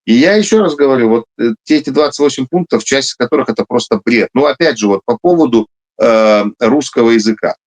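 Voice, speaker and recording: A man's voice: medium pitch, lively intonation, quick pace, few pauses. A man who is a military officer, answering interview questions emphatically, with frequent hesitations and restarts. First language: Russian.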